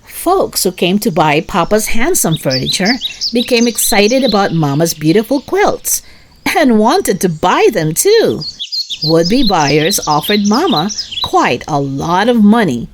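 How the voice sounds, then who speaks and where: A woman narrating a children's story in an expressive way, as a read-aloud host for young listeners. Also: medium pitch, unhurried, noisy background. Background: music.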